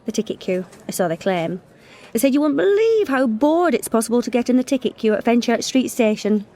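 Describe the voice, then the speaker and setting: An actress playing a forthright, wry young Englishwoman in a radio comedy, brisk, teasing and direct. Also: fairly high pitch, sing-song, dark tone. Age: young adult. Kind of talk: radio comedy drama acting.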